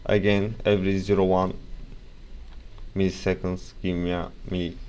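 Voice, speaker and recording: Young man, Turkish accent, deep and unhurried, rambling tutorial delivery, faint noise behind. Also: level tone, a little mellow. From Turkey. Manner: rambling, self-correcting, casual asides. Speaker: software tutorial presenter. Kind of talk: casual screen-recorded software tutorial.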